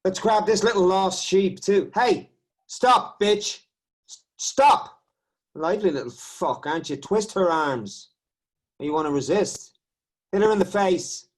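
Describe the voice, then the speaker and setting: A man's voice: a little high, expressive, moderate pace, slightly rough. A man doing a sneering brutal riot cop. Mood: barking. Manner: crude, sneering, barking orders. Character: brutal, foul-mouthed male riot policeman. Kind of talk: satirical audio drama.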